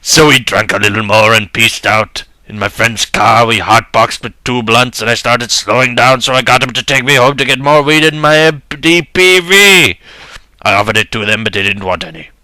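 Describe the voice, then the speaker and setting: A man's voice: mid-range voice, moderate pace, pushed and bright, no echo, low-quality recording. A young man giving a dramatic first-person reading of a story in a mock-serious, earnest manner.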